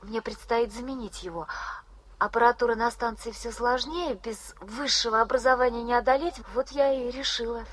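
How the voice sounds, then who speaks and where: An actress playing a frank, gentle young woman student from Yakutia who speaks softly and earnestly. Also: a little high, fairly even intonation, moderate pace, lightly acted. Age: young adult. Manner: soft, earnest, often hushed. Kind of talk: 1980s radio drama acting.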